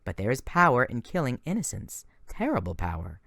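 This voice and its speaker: A man's voice: medium pitch, huge pitch swings, fairly quick, vocal fry, bright, forceful tone, no echo, full character voice. A man doing a grave, old-fashioned huntress. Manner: formal archaic wording, grave. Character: solemn ancient young huntress, archaic speech.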